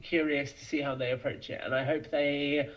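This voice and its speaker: A man's voice: fairly high pitch, level tone, normal speed, highs cut off. A man answering as a podcast guest, talking in a thoughtful, explanatory, conversational way.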